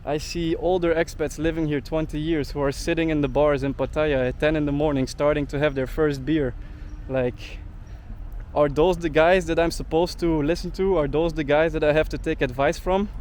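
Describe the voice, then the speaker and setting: A man's voice: fairly high pitch, fairly even intonation, moderate pace, a little mellow, a little hiss or hum. Indignant young man ranting outdoors. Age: young adult. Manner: indignant, heavy use of "like" fillers. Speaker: travel vlogger. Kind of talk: ranting vlog monologue.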